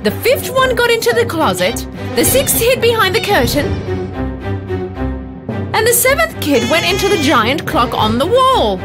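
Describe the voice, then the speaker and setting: A woman narrating a children's fairy tale in an expressive, dramatic storytelling manner. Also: very high-pitched, measured pace, music in the background.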